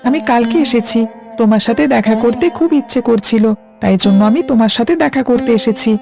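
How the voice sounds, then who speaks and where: A woman's voice: on the high side, a little fast, creaky, a little mellow. A woman voicing a timid, anxious young boy in a cartoon story. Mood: timid, eager. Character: timid young boy visiting his grandfather. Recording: music under the voice.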